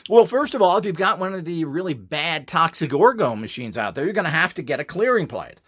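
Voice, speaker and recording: A man's voice: on the high side, very animated, brisk, fairly bright tone, slightly rough. A man giving an informal instructional monologue in a blunt, opinionated, emphatic and rambling way. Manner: opinionated, blunt, scornful of rivals. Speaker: presenter selling upgrade equipment.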